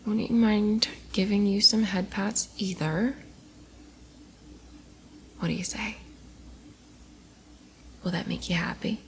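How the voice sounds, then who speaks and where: A woman playing a tipsy, playful college girl in an intimate ASMR roleplay, rambling and teasing affectionately, often soft and whispery. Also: medium pitch, slow, pauses often, slight background noise, close to an everyday voice.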